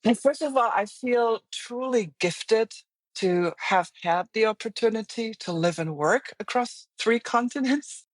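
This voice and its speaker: A woman's voice: fairly high pitch, measured pace, no room sound, low-quality recording. A middle-aged woman with a German accent, speaking as an interview guest in an earnest, reflective way with frequent restarts. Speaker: guest, finance executive. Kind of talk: interview conversation.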